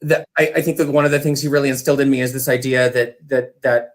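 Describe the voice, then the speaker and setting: A man's voice: medium pitch, fairly even intonation, fast. A man talking informally as an interview guest, enthusiastic and rambling as he explains his work. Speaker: interview guest, community theater director. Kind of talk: casual conversational interview.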